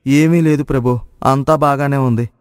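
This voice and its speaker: A man playing a naive, excitable village cowherd in a moral story, exclaiming and questioning. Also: mid-range voice, normal speed, clean tone, dry recording, studio quality, in a large hall, close to an everyday voice. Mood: respectful, bewildered. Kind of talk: Telugu moral story voice acting.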